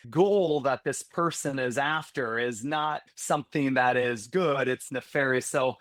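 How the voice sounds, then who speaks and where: A man's voice: mid-range voice, a little slow, a bit bright, no room sound. Candid man thinking aloud, dry sound. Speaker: healthcare security executive, podcast guest. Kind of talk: conversational interview.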